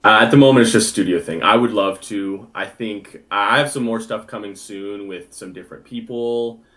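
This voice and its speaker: A young man and bass singer, a guest chatting casually in an interview, easygoing and full of filler words. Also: normal speed, fairly bright tone. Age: young adult.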